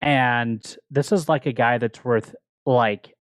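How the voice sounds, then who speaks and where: A man's voice: medium pitch, slow, no room sound. A man speaking casually as a book club podcast panelist, retelling and discussing a story.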